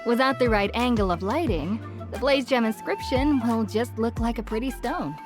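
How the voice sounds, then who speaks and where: A woman voicing a chatty, warm-hearted village woman in a fantasy video game, explaining things in a slightly flustered way. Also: fairly high pitch, normal speed, music in the background, close to an everyday voice. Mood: reassuring.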